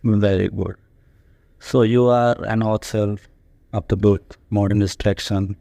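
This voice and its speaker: A man, an English teacher, interviewing his student with measured, plain questions. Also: fairly low pitch, steady tone, gravelly, dark tone, no echo.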